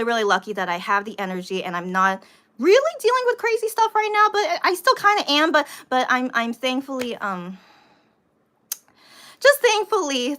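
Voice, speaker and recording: An American woman hosting a casual livestream, rambling to viewers and repeating herself with animated emphasis, sounding somewhat tired. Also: fairly high pitch. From United States. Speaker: livestream host, vlogger.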